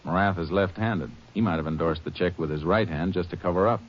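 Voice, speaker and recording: Low-voiced, terse Texas Ranger played by a man. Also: quick pace, close to an everyday voice. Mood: businesslike. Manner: terse, authoritative. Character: steady, shrewd Texas Ranger investigator, also narrating. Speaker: lead actor and narrator. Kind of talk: 1950s radio crime drama acting. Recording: noisy, muffled old radio broadcast.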